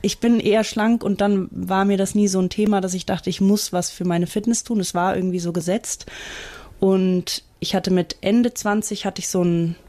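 A woman's voice: mid-range voice, steady tone, moderate pace, dark tone. A woman in her thirties telling a personal account, a bit hesitant and searching for words. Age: 30s. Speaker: interviewee.

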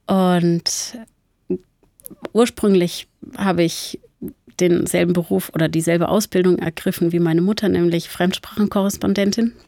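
Low gravelly woman introducing herself. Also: fairly even intonation, unhurried, mellow, no echo, studio quality. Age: 30s. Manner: hesitant, with fillers. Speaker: documentary interviewee. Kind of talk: casual self-introduction.

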